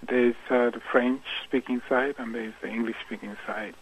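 A man's voice: mid-range voice, fairly even intonation, normal speed, slightly rough. A man from Cameroon, a guest musician in a radio interview, speaking thoughtfully with many fillers and restarts. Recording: tinny phone line.

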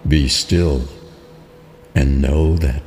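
Super deep guy reading scripture really slowly, meditative, music behind him. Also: steady tone, darkish tone. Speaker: reader of scripture.

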